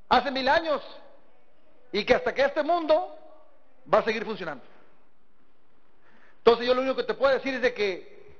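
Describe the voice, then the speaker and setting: High-voiced northern Mexican man speaking in a hall. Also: a bit bright. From Sinaloa, northern Mexico. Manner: folksy, storytelling, engaging listeners with rhetorical questions. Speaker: motivational business seminar speaker. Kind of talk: motivational talk to a live audience. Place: large seminar hall before an audience. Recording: dull, echoey hall recording.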